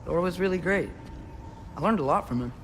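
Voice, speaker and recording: Earnest, higher-voiced teenage boy. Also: lively intonation, brisk, fairly bright tone, a little hiss or hum. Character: earnest teenage half-giant boy, cautious and determined. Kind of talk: naturalistic video game acting.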